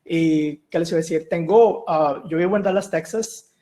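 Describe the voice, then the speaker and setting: A middle-aged man from Mexico, giving a tech talk on a video call, relaxed and full of "eh" fillers. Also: a little high, moderate pace. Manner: relaxed, with many "eh" and "ah" fillers and restarts. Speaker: guest speaker, solution architect. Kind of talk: online tech meetup presentation.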